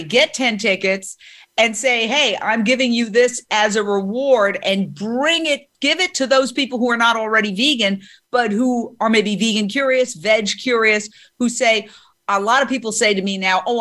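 Female interview host, higher pitch, enthusiastic and opinionated. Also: fairly bright tone. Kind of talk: interview conversation.

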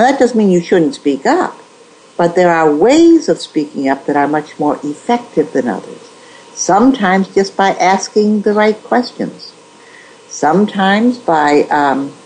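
A woman in a conversational interview, giving measured advice with frequent restarts. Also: on the low side, very animated, a little mellow, breathy edge, rough recording. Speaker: guest.

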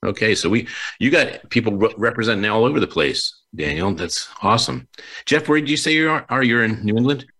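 A man's voice: medium pitch, expressive, brisk, pushed and bright. A man hosting a remote interview show, chatty and effusive as he talks with his guests. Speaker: show host. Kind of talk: conversational interviewing. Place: video call.

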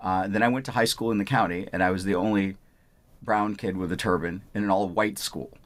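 A man's voice: sits low, fairly even intonation, fairly quick. A man from Punjab in India, a doctor answering interview questions in an explanatory way. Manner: explanatory, repeats "I want" phrases. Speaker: physician.

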